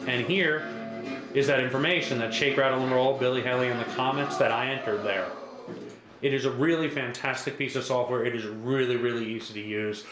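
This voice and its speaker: A man giving a casual, reassuring step-by-step software tutorial as a product demonstrator. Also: mid-range voice. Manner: casual, repetitive, reassuring instructor. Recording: echoey room with faint background noise.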